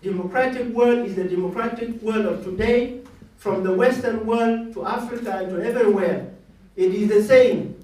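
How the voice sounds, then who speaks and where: Emphatic man, high-pitched, heavy echo, far from the mic. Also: moderate pace, a little mellow. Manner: emphatic, political. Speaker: audience member. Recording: echoey lecture hall, distant mic.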